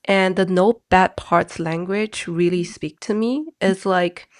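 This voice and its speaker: A lower-pitched woman talks as a guest counselor on an interview show. Her talk is chatty and loose, full of "like," with relaxed grammar. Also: slow. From Malaysia. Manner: chatty, leans on "like" as a filler, relaxed non-native-sounding grammar. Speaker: guest, mental health counselor.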